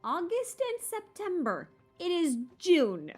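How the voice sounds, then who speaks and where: A woman's voice: very high-pitched, huge pitch swings, takes it slowly, darkish tone, soft background music. A woman hosting a casual live Q&A stream while gaming, chatting in a playful, self-deprecating, rambling way with muttered asides.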